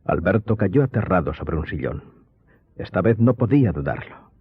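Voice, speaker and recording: A man narrating a radio serial in a scripted, dramatic and measured storytelling style. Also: sits low, very animated, slightly rough, no echo, narrow, tinny audio.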